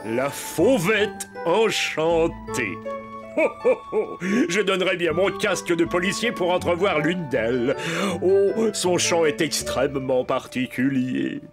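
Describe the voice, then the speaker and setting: A fussy toy-town policeman a children's cartoon, in a high, very animated voice. He is pompous yet jolly and excitable, speaking at an easy pace over faint music. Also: takes it slowly. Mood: proud, jolly. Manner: fussy, chuckling, prone to flustered exclamations. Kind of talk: French children's cartoon dub.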